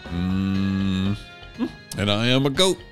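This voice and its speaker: A man's voice: low-pitched, slow, music in the background. A middle-aged American man hosting a podcast, chatting casually with self-deprecating, jokey storytelling. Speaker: podcast host, stand-up comic. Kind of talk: casual humorous chat.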